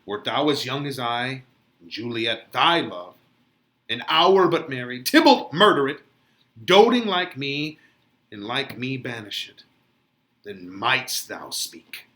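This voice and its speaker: A man's voice: mid-range voice, expressive, slow, a bit bright, pitched below the performer's own voice. A man playing a lovesick, despairing young lover, declaiming Shakespeare with tearful, melodramatic anguish. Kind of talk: dramatic Shakespeare reading.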